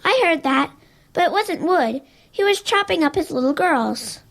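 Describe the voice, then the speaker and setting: A timid little schoolgirl, played by a woman in an audio drama. The voice is extremely high and moves up and down in a lively way. She sounds hesitant, plaintive and worried. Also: normal speed, exaggerated voice. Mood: worried, hurt.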